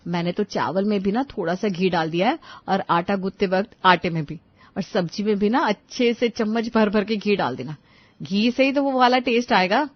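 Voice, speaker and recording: A woman's voice: medium pitch, normal speed, dry recording, lo-fi sound, close to an everyday voice. A woman voicing a scheming young elder daughter-in-law in a cartoon family story. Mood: plotting.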